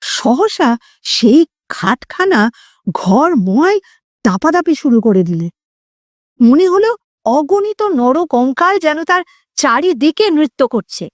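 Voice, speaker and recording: A woman's voice: high voice, very animated, takes it slowly, long pauses, no room sound, studio quality. A woman narrating a scripted horror story in a theatrical, suspenseful way, drawing out words for effect.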